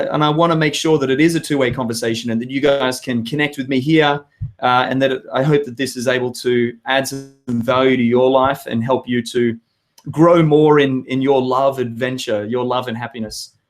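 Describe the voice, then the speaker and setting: An Australian man in his thirties with a hoarse voice, hosting a live web show and chatting with viewers in an upbeat, rambling way. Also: mid-range voice. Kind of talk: live webinar chat to viewers.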